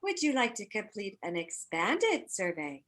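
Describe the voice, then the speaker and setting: A woman's voice: mid-range voice, huge pitch swings, measured pace, a bit bright. A woman voicing an officious, menacing alien survey machine in a comedy cartoon script, formal and insistent.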